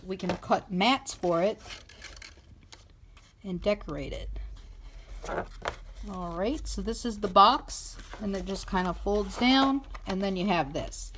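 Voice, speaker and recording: Lady doing a craft how-to, real slow, thinking out loud, casual, noisy background. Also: medium pitch. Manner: informal, thinking aloud. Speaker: craft tutorial host. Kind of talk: casual hands-on craft tutorial. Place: indoors at a craft table. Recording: clearly noisy home recording with clicks.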